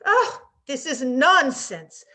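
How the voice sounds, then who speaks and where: A woman playing an exasperated French gentleman who protests indignantly in a radio play. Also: high voice, sing-song, takes it slowly, full character voice. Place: remote reading over a video call.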